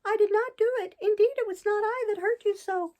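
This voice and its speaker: A woman voicing a cheeky wooden puppet boy in a storybook reading, speaking in a high, piping voice. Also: moderate pace. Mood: tearful despair.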